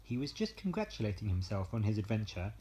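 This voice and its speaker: A man narrating a bedtime story as an expressive storyteller. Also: fairly low pitch, quick pace.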